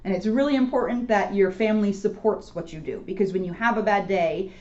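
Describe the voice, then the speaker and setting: A woman's voice: mid-range voice, fairly quick, darkish tone. An American woman, a school police officer around her thirties or forties, talking informally to schoolchildren. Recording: dull lo-fi audio.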